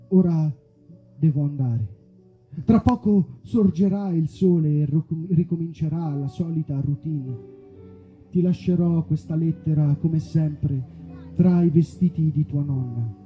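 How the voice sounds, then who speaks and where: A man's voice: high-pitched, lo-fi sound. A man playing a lovesick, solemn wolf in a theatrical comic monologue, melodramatic and pining. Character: lovesick big bad wolf reading a secret love letter.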